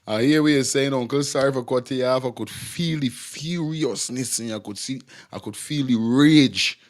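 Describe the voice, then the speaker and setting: A man's voice: mid-range voice, normal speed. A man hosting a call-in talk show in a casual, laid-back way, moving callers along.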